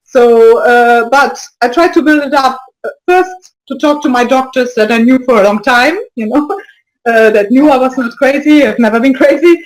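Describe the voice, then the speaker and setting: A woman guest in an interview speaks in a slightly high voice, halting and full of restarts. She slips small soft laughs between her thoughts and keeps a casual tone. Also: soft background music, low-quality recording. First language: not French. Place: lives in Brussels area.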